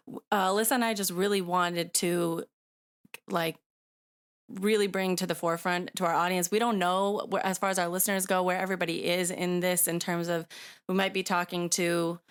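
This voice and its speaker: Smooth-voiced woman podcast host. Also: fairly low pitch. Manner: hesitant, restarts and "like" fillers. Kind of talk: casual interview questions.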